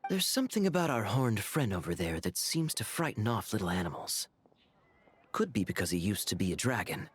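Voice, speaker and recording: A man's voice: fairly low pitch, very animated, a little fast, slightly rough. A man voicing a suave, laid-back young swordsman in a video game, sardonic and playfully teasing. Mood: amused, teasing. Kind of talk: video game character dialogue. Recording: faint music behind.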